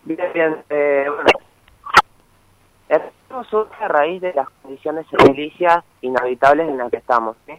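A man's voice: a little high, a little slow, heavy background noise. A young man from Misiones with an Argentine Spanish accent, calling in as a student activist and explaining his cause earnestly, often checking in with "¿sí?". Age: young adult. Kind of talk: phone interview. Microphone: phone. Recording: muffled phone line.

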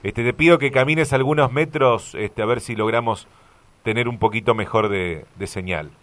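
A man's voice: mid-range voice, moderate pace, a bit bright, no echo. A man with an Argentine Spanish accent hosting a radio show and asking a caller questions in a friendly, conversational way. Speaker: radio host. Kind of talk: radio interview. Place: radio studio.

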